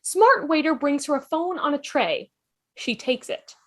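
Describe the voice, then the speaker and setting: A woman's voice: sits high, expressive, measured pace. A woman narrating the stage directions of a script in a fan table read.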